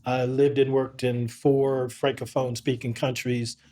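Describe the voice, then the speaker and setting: A man's voice: mid-range voice, flat delivery, mellow, slight background noise. Earnest middle-aged man, reflective storytelling. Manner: earnest, measured, with frequent restarts. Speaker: podcast guest.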